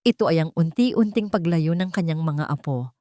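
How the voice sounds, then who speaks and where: A woman storyteller reads a scripted drama. Her voice sits low, and the sound is dry and close, with hardly any room around it. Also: normal speed, dark tone, no echo. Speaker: story narrator. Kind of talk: scripted dramatic narration of a Tagalog romance story.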